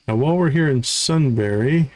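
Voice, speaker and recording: A man's voice: medium pitch, expressive, normal speed, a little mellow. A man giving casual live gameplay commentary, thinking aloud as he counts off items and talks through his tasks. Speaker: live streamer, gaming host.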